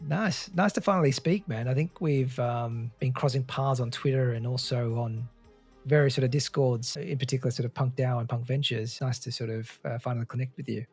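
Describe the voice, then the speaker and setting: A man's voice: mid-range voice, steady tone, fairly quick, a little mellow, husky, soft background music. A man hosting a podcast, talking in an informal, matey, conversational way. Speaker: podcast host. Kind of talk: upbeat intro and casual interview.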